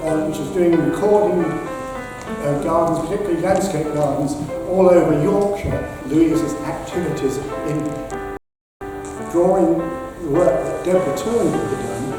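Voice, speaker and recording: An older man introducing a guest speaker at a society talk, halting, with many fillers and restarts. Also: on the high side. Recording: echoey hall with long reverb and music.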